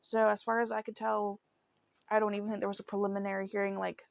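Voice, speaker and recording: A woman's voice: medium pitch, little pitch movement, fast talker, creaky, dry recording. A woman hosting a true crime show, telling a case in a chatty, informal, conversational way. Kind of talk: casual true crime narration.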